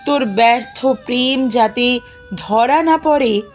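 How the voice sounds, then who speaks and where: A woman giving an expressive, dramatic poetry recitation in a plaintive, emotive manner with drawn-out pauses. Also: a little high. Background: music.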